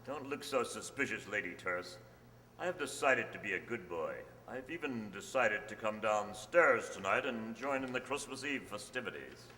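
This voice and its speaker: A man playing a sardonic, prickly, self-assured country-house gentleman in an old-time radio mystery style. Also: on the low side, animated, normal speed. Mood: mocking. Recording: noisy, echoey stage hall.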